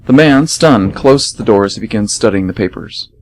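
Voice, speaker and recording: A man's voice: fairly low pitch, expressive, normal speed, slight background noise. A man hosting and narrating a radio theater show in scripted announcements and narration.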